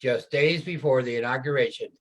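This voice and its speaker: A man's voice: mid-range voice, brisk, fairly bright tone. A man reading scripted historical narration for a reader's theater production.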